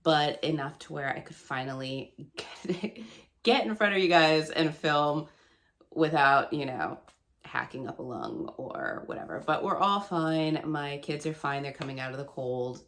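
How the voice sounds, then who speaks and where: A woman in her thirties chatting casually to camera as a book vlogger, her voice still gravelly and nasal from a cold, rambling and laughing often. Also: rumbling low, fairly bright tone, a little hiss or hum. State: hoarse and stuffy from a cold.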